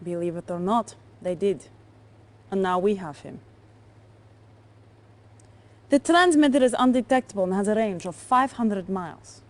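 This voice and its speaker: An actress playing a cool, shrewd Soviet spymaster, poised and pointed in a video game cutscene. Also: female voice, mid-range voice, expressive, unhurried, long pauses, close to an everyday voice. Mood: smug, calculating. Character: cool, shrewd Soviet woman spymaster, self-assured and cunning.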